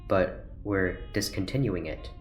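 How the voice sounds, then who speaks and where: Very deep-voiced, guarded research doctor. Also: female voice, unhurried, reverberant, faint music behind. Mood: guarded, formal. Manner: terse, restrained, formal. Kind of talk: audio drama acting.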